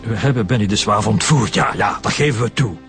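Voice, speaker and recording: An actor playing a defiant, hot-headed Flemish husband in a radio crime drama. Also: male voice, on the low side, fairly quick, fairly bright tone, faint music behind. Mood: defensive.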